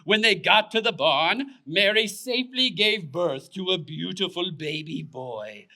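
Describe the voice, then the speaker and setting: High, very bright male storyteller. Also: expressive, unhurried. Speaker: puppet show narrator. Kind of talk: scripted children's storytelling.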